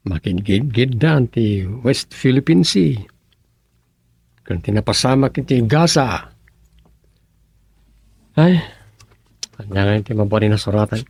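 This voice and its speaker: Gravelly slow-talking village councilman. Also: on the low side, expressive, darkish tone, no room sound, exaggerated voice. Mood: musing, grumbling. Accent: Ilocano. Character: middle-aged village councilman and family man looking for help. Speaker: radio drama actor. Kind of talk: Ilocano radio comedy drama acting.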